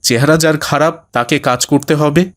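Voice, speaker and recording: A man's voice: a little high, steady tone, normal speed, natural voice. A man voicing a reproachful father in a family cartoon, pointed and accusing. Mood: mocking, reproachful. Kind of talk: dramatic cartoon voice acting.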